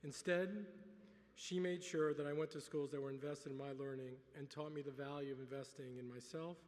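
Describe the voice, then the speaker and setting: A middle-aged man whose first language is French gives a relaxed acceptance speech at a gala dinner, with dry humor and many filler words. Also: on the high side, brisk. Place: large banquet hall. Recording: reverberant hall.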